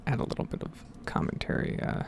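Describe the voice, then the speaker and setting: A man giving hesitant, rambling game commentary in a soft-spoken, whispered ASMR style. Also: fast, background noise. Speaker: gaming video host.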